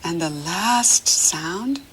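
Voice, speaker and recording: A woman with an American accent leads a picture-book reading lesson for small children. Her voice is breathy and a little husky, and she takes her time. She speaks in short, simple, encouraging phrases, pausing to let the child answer. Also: lowish voice, lively intonation, fairly bright tone. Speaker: reading teacher for young children.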